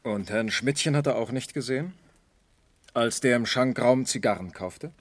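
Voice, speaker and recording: An actor playing a businesslike, pressing police lieutenant in a 1970s radio crime play. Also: male voice, mid-range voice, moderate pace, no room sound, natural voice. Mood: pointed. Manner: matter-of-fact, pressing. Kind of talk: 1970s German radio crime drama acting.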